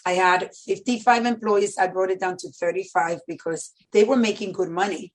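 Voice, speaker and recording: A woman's voice: medium pitch, steady tone, normal speed, low-quality recording. Middle-aged woman with a Dominican Spanish accent. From Dominican Republic. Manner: emphatic, repeats phrases. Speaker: podcast guest, medical center executive. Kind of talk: personal storytelling in an interview.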